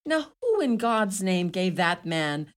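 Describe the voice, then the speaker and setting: An actress playing a scolding, sharp-tongued Irish mother in a radio play, theatrical and scornful. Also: fairly low pitch, very animated, takes it slowly. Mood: scornful, indignant. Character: sharp-tongued, indignant Irish mother, middle-aged or older.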